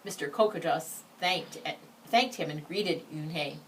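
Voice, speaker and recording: A slightly low-voiced woman reads a picture book aloud in an expressive storytelling style. She sits back from the microphone, with faint noise around her. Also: unhurried. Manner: expressive, acts out the dialogue. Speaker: story reader. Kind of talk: read-aloud of a children's picture book with brief asides. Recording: distant microphone, background noise.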